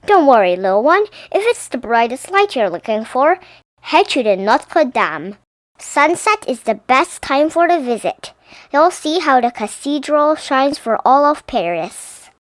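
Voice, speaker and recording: A woman's voice: high-pitched, very animated, measured pace, dry recording. A woman voicing a kindly, helpful male opera singer giving reassuring advice in a storybook. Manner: reassuring, advising.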